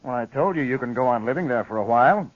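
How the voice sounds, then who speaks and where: A man's voice: medium pitch, animated, quick pace, no room sound, close to an everyday voice. Guy as a slimy smug american lawyer in an old radio western, dry muffled sound. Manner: smug, unruffled. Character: sly, smug crooked frontier lawyer, a man. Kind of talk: 1950s radio western acting.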